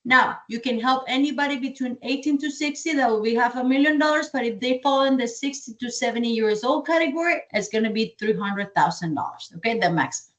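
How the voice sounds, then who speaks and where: A woman's voice: a little high, fairly quick, few pauses. A woman from Colombia with a Colombian Spanish accent, giving a training presentation to sales agents and answering her own questions. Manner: energetic, repeats key points, asks and answers own questions.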